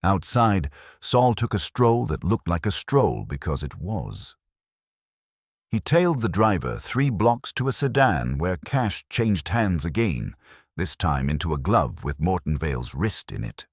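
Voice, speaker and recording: A man narrating a scripted first-person detective story in a dry, wry, measured way. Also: deep voice, big pitch swings, no room sound. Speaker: audiobook narrator.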